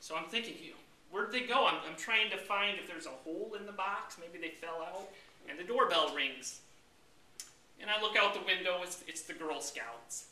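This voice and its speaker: A man's voice: high-pitched, moderate pace, sharp tone. An American man giving a humorous tall-tale speech in a theatrical, mock-indignant storytelling manner, acting out his lines. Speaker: club speaker. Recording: roomy, distant mic with background noise.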